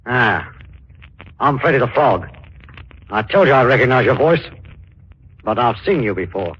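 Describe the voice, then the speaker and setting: An actor playing a sly waterfront crook and informant, with colloquial talk, in 1940s radio thriller style. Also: male voice, mid-range voice, animated, measured pace, husky. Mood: suspicious, sly. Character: shady waterfront underworld informant called Freddy the Frog. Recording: dull, noisy 1940s radio recording.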